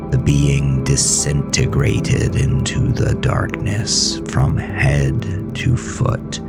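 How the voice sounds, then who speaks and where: A man playing a grieving, haunted farmer who narrates a horror audio drama in a brooding, deliberate, theatrical way. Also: a bass voice, heavily acted. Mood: awed, weary. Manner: brooding, deliberate, theatrical, dropping at times into breathy near-whisper. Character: grieving, haunted farmer telling his supernatural ordeal.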